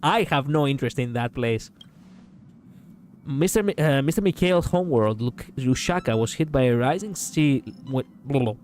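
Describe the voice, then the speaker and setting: A man with a raspy voice streaming a game, chatting casually in a rambling way, laughing often and swearing. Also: mid-range voice, expressive, normal speed, darkish tone. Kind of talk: casual gaming stream chat.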